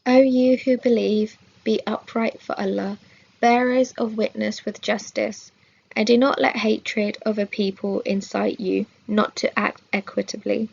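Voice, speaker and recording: Woman, creaky mid-range voice, measured formal reader of scripture study, slightly muffled recording. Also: medium pitch, a little mellow. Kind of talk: scripted religious lesson read aloud.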